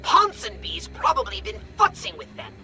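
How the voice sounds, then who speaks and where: A bossy, exasperated commander of alien invaders in a video game. The voice is high and sharp, scolding with dry, lively swings over faint music. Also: female voice, moderate pace, creaky, heavily acted. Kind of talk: video game cutscene acting.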